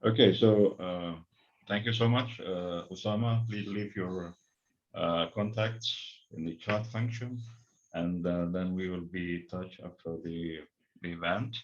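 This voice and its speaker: A man's voice: low-pitched, fairly even intonation, unhurried, a little hiss or hum. A man moderating an online investor forum, giving brief polite handovers. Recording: dull lo-fi call audio, off mic.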